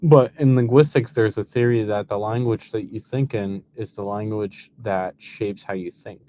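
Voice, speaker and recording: A young man in his early twenties, chatting loosely and fast, scattering "like" through his sentences. His voice sits a little low, with faint background noise behind it. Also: fairly even intonation, dark tone. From United States. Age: 20s. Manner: quick, loose, lots of "like". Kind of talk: casual conversation.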